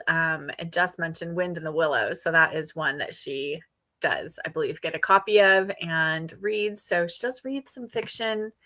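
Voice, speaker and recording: A woman's voice: fairly low pitch, pushed and bright. A woman hosting a readalong livestream, chatting casually and gushing with enthusiasm as she responds to viewers. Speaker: livestream host of a book readalong. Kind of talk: casual livestream chat, reading and answering viewer comments.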